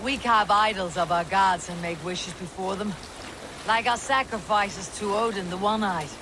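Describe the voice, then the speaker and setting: An actor playing a terse, practical Viking warrior in a video game. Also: male voice, high-pitched, fairly even intonation, moderate pace, natural voice. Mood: dry, curious. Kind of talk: video game dramatic acting. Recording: loud river water noise.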